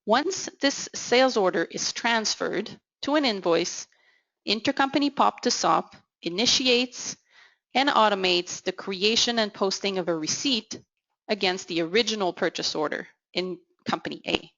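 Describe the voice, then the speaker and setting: A woman giving an instructional software walkthrough as a consultant, in a measured, explanatory way. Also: medium pitch. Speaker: technical consultant presenting a software demo. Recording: dull, lo-fi audio. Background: voice-over for a screen recording.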